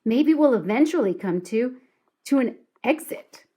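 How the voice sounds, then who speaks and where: A woman voicing a cautious, worried young boy explorer in a storybook, earnest and trying to sound calm. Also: a little high, a little slow, slightly rough. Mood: anxious, reassuring.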